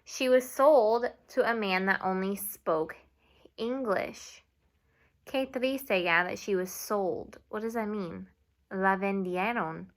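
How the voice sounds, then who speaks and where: A young woman teacher giving a video lesson to children, speaking slowly and clearly and switching between English and Spanish. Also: mid-range voice. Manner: slow and clear, mixing English and Spanish, asks students to repeat. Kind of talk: classroom video lesson for kids.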